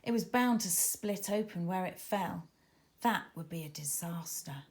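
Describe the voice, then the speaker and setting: A woman's voice: on the low side, lively intonation, a little slow, darkish tone. A woman narrating a children's story expressively, with dramatic emphasis as she reads. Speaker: author reading own children's book.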